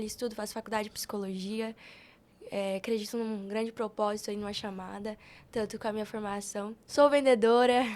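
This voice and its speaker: A woman of eighteen with a Brazilian accent, talking casually as a guest on a podcast. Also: a little high. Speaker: podcast guest, youth preacher.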